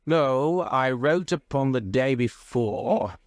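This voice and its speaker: A man's voice: medium pitch, expressive, takes it slowly, no room sound, pitched above the performer's own voice. A stony, stately duke as a male narrator plays him in a classic detective tale. He speaks with grave, sonorous formality, and the recording is dry. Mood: guarded.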